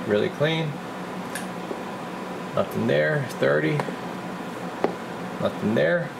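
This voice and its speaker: Male technician, casual and halting, creaky voice, explaining bench work over noticeable background hum. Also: on the high side. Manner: informal, halting, thinking aloud while working. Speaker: radio repair technician presenting his work. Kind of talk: casual hands-on repair walkthrough. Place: indoor electronics workbench.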